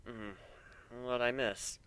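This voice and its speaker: Man voicing a wry, world-weary pony warden, bright voice. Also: medium pitch, fairly even intonation, slow, clean tone, no echo. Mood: groggy, puzzled. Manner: blunt, sarcastic.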